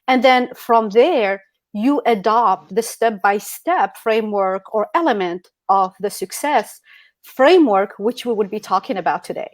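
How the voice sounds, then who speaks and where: A woman's voice: mid-range voice, lively intonation, unhurried, dry recording. A middle-aged woman from Algeria speaking as a guest presenter in a motivational presentation. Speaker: guest speaker at an online e-commerce summit. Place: indoors on an online video call.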